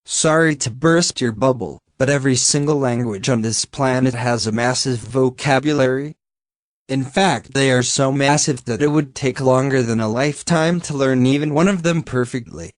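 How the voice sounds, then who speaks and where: A man's voice: mid-range voice, normal speed, dry recording. Sarcastic Finnish man, dry close voice. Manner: sarcastic, blunt, swears. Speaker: commentator reacting to a video. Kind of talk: mocking reaction commentary.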